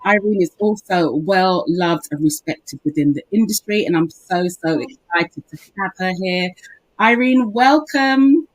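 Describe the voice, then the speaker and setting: Lady hosting a podcast, upbeat intros, lower voice, asks lots of questions. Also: lively intonation, unhurried, low-quality recording. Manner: warm, enthusiastic introductions. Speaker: podcast host.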